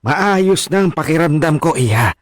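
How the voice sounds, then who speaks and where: A man's voice: a little high, animated, moderate pace, dry recording, studio quality, close to an everyday voice. An older actor playing a teasing, affectionate elderly father in a radio drama. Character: ailing elderly father, teasing, eager for grandchildren.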